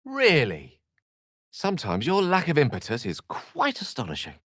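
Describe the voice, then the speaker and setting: An actor voicing a wry, bookish human wizard in a video game, speaking with a British accent in an articulate, theatrical and wordy manner. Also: male voice, fairly high pitch, huge pitch swings, normal speed, fairly bright tone, no echo, studio quality, exaggerated voice. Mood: exasperated.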